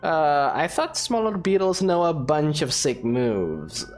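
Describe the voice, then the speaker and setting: A man's voice: fairly high pitch, big pitch swings, slow pace, faint music behind. A man giving casual let's play commentary, with joking, teasing asides. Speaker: gaming video creator.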